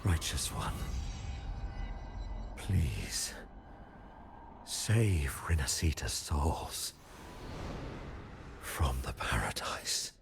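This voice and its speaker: Slow, gravelly, grandiose zealot. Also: male voice, rumbling low, sing-song, whispered, exaggerated voice. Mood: solemn, anguished. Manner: grandiose, solemn, slow declamation. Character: fanatical villainous priest-like man, grandiose and zealous. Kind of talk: theatrical video game voice acting.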